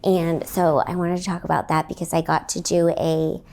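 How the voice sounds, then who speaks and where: A woman's voice: low voice, level tone, normal speed, warm tone, slight background noise. A young woman from Texas, a comedian hosting her own podcast, telling a story in a rambling way with lots of fillers. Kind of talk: casual solo podcast storytelling.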